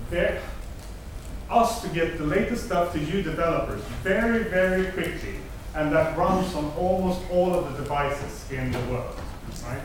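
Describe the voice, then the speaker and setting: A man with a Swedish accent, native Swedish speaker, giving an upbeat technical conference talk and asking the audience questions. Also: fairly high pitch. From Sweden. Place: large conference hall. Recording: echoey hall, mic at a distance, noisy.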